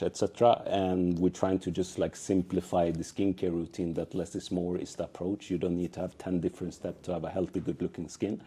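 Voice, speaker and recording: Deep, mellow man telling a story. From Sweden. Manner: animated storytelling with quoted dialogue, frequent fillers. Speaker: entrepreneur, panel speaker. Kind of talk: telling his founder story.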